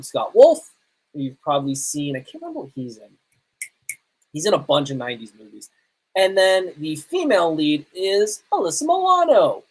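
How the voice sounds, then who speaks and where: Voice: medium pitch, poor audio. A podcast host with a mid-range voice talks through films in a casual live commentary style. The delivery is conversational and opinionated, with informal asides along the way.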